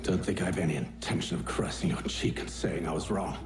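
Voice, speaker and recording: An actor playing a proud, cold older Templar father in a video game, speaking in a measured, eloquent, condescending way. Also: low voice, natural voice. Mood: bitter, resigned. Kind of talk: dramatic video game acting.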